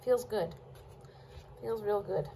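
A woman's voice: mid-range voice, slow pace, vocal fry, background noise. A woman chatting casually with her viewers while she chops vegetables at her kitchen counter. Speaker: cooking vlogger. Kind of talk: casual cook-along chat.

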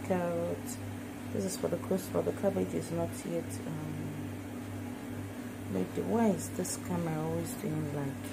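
A woman's voice: lowish voice, vocal fry. A woman chatting casually as she cooks, filmed on a phone held over the pan. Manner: chatty, gives side instructions to helpers. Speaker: home cook. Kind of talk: casual cooking demo. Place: indoor kitchen floor, sizzling pan. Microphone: phone held overhead. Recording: noisy phone recording with frying sizzle.

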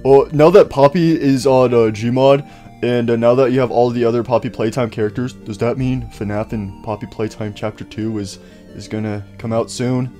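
A man's voice: mid-range voice, steady tone, moderate pace, warm tone, soft background music. A man hosting a casual game mod review, upbeat and rambling, with lots of fillers. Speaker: YouTuber host.

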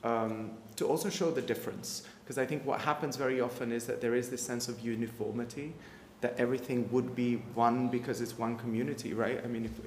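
Young guy on a panel, slow and measured, very flat tone, echoey room. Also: mid-range voice. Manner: slow, measured, even delivery. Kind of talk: panel discussion. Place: art gallery room. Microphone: stand mic. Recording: echoey hall, faint noise.

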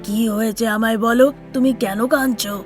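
A woman's voice: fairly high pitch, fairly even intonation, moderate pace, soft background music, lightly acted. A woman voicing a kindly older father-in-law in a cartoon tale, concerned and questioning. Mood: worried. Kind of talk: cartoon fairy-tale character acting.

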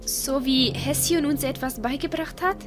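A woman's voice: high voice, measured pace. A woman playing a vain, stubborn, childishly bickering male adventurer in an audio drama. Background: music.

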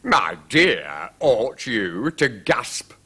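A man voicing a mild, hesitant storybook king who reproves gently in a genteel, tentative way. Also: mid-range voice, big pitch swings, drawn-out words, breathy edge, full character voice. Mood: mildly reproving. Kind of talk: children's story character voice.

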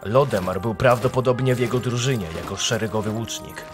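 A man playing a sombre, matter-of-fact elven soldier in a fantasy audio drama, speaking in a grave, measured way. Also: mid-range voice, over music, lightly acted. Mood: grave, respectful.

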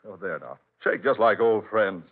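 An actor playing a curious, hearty visiting gentleman in an old-time radio drama, with a genteel, exclamatory manner. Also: on the low side, normal speed. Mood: hearty, insistent. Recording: muffled narrowband old radio recording.

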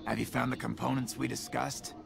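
A man playing a terse, serious rocket technician in a video game, with a gravelly voice and a formal, matter-of-fact manner. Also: medium pitch, level tone, fairly quick.

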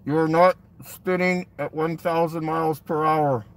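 A man's voice: a little high, fairly even intonation, a little slow. A middle-aged man with a Canadian accent, making blunt short statements in a casual vlog and calling out slogans to passers-by. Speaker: vlogger and street activist. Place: inside a parked or slow-moving car. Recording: car interior with vehicle noise.

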